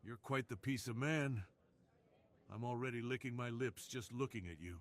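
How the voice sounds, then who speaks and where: A man's voice: mid-range voice, moderate pace, pitched above the performer's own voice, natural voice. A man voicing a suave, dominant crime boss in a seductive, self-assured ASMR roleplay. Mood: confident, flirtatious.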